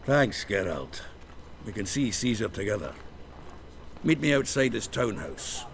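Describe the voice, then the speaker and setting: An actor playing a gruff, earthy, hot-tempered male rogue in a fantasy video game, gravelly and blunt, full of colourful slang. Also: on the low side, big pitch swings, measured pace, a little hiss or hum, heavily acted. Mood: blunt, scheming.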